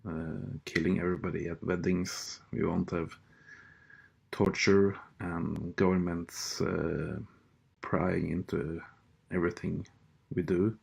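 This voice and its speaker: A man whose first language is Norwegian talks to his viewers in an unhurried, drawn-out way, often stopping on fillers and starting his sentences over. His voice sits somewhat low and has a gravelly, creaky grain. He speaks alone, straight to his audience. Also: flat delivery, darkish tone. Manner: slow, hesitant, many fillers and restarts. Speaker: vlogger. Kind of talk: casual monologue rant.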